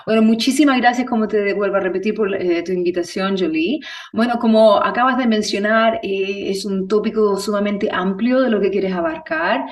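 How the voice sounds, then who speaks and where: A woman's voice: medium pitch, moderate pace, distant mic. A woman from Chile, telling her story conversationally as a guest, in a meandering way with checking tags. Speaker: podcast guest, movement instructor.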